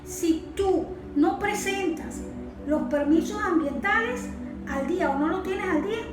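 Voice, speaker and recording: A woman's voice: very high-pitched, unhurried. A Venezuelan woman speaking as an interview guest, explaining in an informal, didactic way and often checking for agreement. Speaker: interview guest, environmental consultant. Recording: echoey room, distant mic, music behind.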